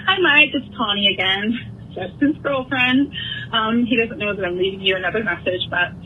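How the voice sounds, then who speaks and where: A woman's voice: medium pitch, moderate pace, a little hiss or hum. A woman leaving an earnest voicemail message, reading from her notes. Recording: tinny phone voicemail.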